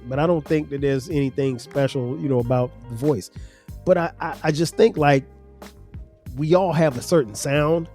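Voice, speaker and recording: A man's voice: medium pitch, a little mellow. A man with a South Carolina accent and a slightly nasal voice, rambling conversationally as a podcast host, a little congested. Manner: rambling, conversational, many restarts. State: congested sinuses. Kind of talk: casual solo podcast talk.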